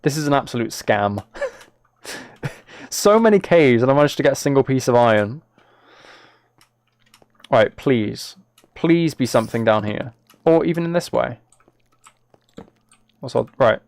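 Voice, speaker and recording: A man's voice: mid-range voice, lively intonation, measured pace, gravelly, pauses often, darkish tone, no echo. A British man chatting casually on a game livestream, talking to viewers in a mock-exasperated, self-deprecating way. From United Kingdom. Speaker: live streamer.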